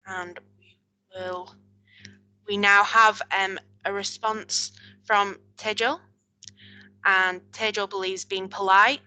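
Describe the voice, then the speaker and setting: A woman runs a candidate Q&A on a video call. She keeps things moving with brisk, polite thanks. Her voice is bright. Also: medium pitch, rough recording. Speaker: hustings moderator. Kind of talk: moderating an online candidate Q&A.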